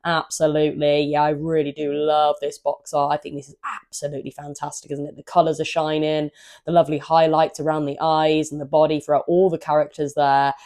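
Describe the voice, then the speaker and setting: A woman hosting a gaming channel, hoarse from a cold, chatting in an upbeat, rambling way and stumbling over her words now and then. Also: low-pitched, little pitch movement, a little fast, a little mellow. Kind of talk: casual chatty walkthrough of a game manual.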